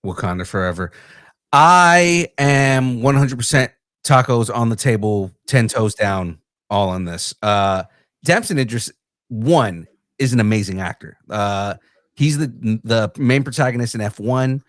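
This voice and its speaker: A man's voice: medium pitch, expressive, unhurried, a bit bright, dry recording, studio quality. A man co-hosting a pop culture podcast, giving earnest opinions in casual chat with frequent fillers and restarts. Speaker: podcast co-host.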